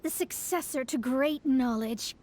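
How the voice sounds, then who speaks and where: High-voiced, haughty young astrologer. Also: female voice, measured pace, darkish tone. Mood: lofty, instructive. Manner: haughty, formal, self-assured. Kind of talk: video game voice acting.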